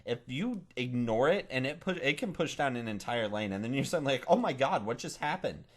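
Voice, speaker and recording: A man co-hosting a gaming podcast in casual discussion, animated and given to exaggeration, often stumbling mid-thought. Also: medium pitch, fairly quick. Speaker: podcast co-host.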